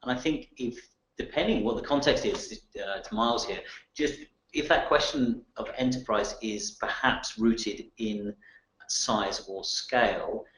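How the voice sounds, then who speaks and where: Man, webinar host, hesitant, mid pitch, roomy sound. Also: measured pace, fairly bright tone. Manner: hesitant, many fillers. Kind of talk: webinar presentation.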